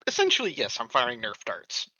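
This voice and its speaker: A man's voice: medium pitch, wildly swooping pitch, fairly quick, bright, forceful tone, no room sound, dull audio. A man chatting casually as a player in a tabletop roleplaying game.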